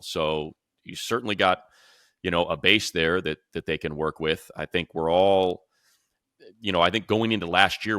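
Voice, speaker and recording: Deep, bright-edged man talking sports. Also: no room sound, studio quality. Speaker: sports commentator on a panel. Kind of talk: conversational sports discussion.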